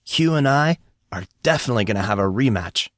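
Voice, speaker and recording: A man's voice: mid-range voice, animated, brisk, a bit bright, dry recording, lightly acted. A man voicing a proud, dry-witted teenage schoolboy with a wry, wordy manner in an audiobook drama.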